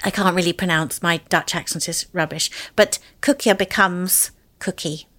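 A woman's voice: fairly low pitch, fairly even intonation, normal speed, a bit bright. A woman, a food historian, answering interview questions in a chatty way with fillers and restarts.

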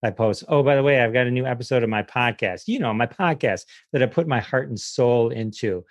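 A man's voice: mid-range voice, brisk, no echo. A man chatting casually as a comedian guest on a podcast, telling stories in a self-deprecating way and acting out the quotes. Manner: self-deprecating, animated retelling with acted quotes. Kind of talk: casual comic interview chat.

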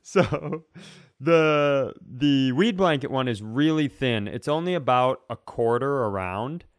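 A man with a clear, smooth voice and a dry, close sound. He tells stories casually as a comedy podcast host, in animated bursts broken by pauses. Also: mid-range voice, dry recording. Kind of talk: casual comic storytelling.

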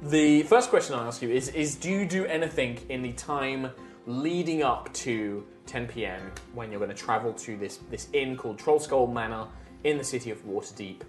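A man's voice: mid-range voice, moderate pace, roomy sound, faint music behind. A man running a tabletop game, recapping the story in a chatty, rambling way with lots of fillers. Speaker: game master.

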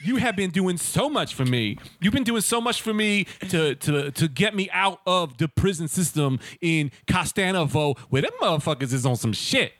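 A man playing a loud, profane frog collector from the Bronx with a New York accent, just out of a foreign prison, in an improvised comedy sketch. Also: expressive, normal speed, few pauses, dry recording. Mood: grateful. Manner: loud, profane, rambling, high-pitched.

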